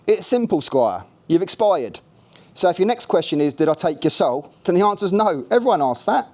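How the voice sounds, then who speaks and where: A man's voice: sits high, big pitch swings, moderate pace, a little mellow, voice pushed up. A man voicing a cheeky, laid-back male afterlife worker with a Cockney accent tinged with West Country, chirpy and matter-of-fact. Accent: Cockney with a hint of West Country.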